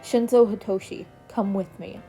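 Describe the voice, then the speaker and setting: A weary, gruff man teacher with dry, blunt lines, read by a woman. The voice stays mellow and at her usual pitch. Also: steady tone, normal speed, faint music behind, close to an everyday voice, poor audio. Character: tired, gruff man teacher fond of coffee.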